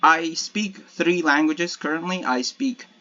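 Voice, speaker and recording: A man's voice: fairly high pitch, a little slow, sharp tone. Hesitant young man, slightly muffled mic. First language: Ilocano. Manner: hesitant, many restarts and self-corrections, laughs at himself. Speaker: solo podcaster playing a block-building game. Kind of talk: casual rambling commentary while gaming.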